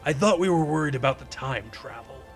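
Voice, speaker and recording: Story heavy: male narrator as hotheaded criminal sidekick, gruff, suspicious, grunting. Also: mid-range voice, expressive, fairly quick, faint music behind. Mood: puzzled. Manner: grunting, blunt.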